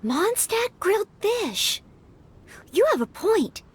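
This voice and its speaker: An actress playing an earnest, polite young maid in a video game, speaking courteously and carefully. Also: female voice, very high-pitched, sing-song, takes it slowly, exaggerated voice. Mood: thoughtful. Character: earnest, polite, eager young maid girl training as knight. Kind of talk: video game character acting. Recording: game music under the voice.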